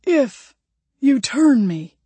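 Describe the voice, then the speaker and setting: A woman's voice: high-pitched, big pitch swings, drawn-out words, mellow, husky, dry recording, pitched above the performer's own voice, heavily acted. A woman voicing a brash, vengeful redneck man who demands to become a vampire, in an audiobook story. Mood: smug.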